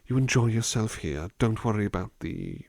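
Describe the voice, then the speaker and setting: A man playing a gentle, attentive butler in an ASMR roleplay, musing in a polite, hesitant, formal way into a close microphone. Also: lowish voice, animated, moderate pace, creaky, dry recording. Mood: politely attentive. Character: gentle, musing, attentive male butler looking after someone. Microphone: close dry microphone.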